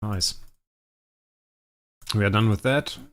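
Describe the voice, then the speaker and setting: A young man, a native German speaker, casually thinking aloud as he streams a game, his sentences often trailing off quietly. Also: fairly low pitch, slow pace. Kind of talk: casual livestream commentary.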